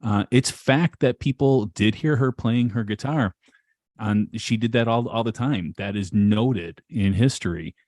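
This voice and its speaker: A man co-hosting a podcast, chatting in an easygoing way with quick agreements. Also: lowish voice, a little mellow, dry recording, clean studio sound. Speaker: podcast co-host. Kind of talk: casual chat. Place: Chicago.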